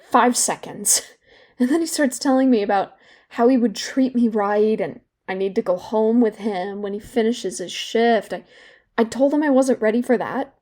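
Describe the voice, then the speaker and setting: An actress playing a young woman in therapy in an audio drama, bitter and regretful, confiding in a halting, sardonic, conversational way. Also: a little high, expressive, darkish tone. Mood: wry, indignant. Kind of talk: naturalistic audio drama monologue.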